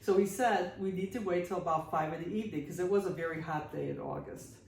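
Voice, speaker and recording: A woman's voice: on the low side, fast, darkish tone, slight background noise. A woman in her sixties co-hosting a podcast, telling stories casually with a playful, teasing manner in a roomy recording made at some distance from the mic. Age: 60s. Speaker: podcast host. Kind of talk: casual conversational storytelling.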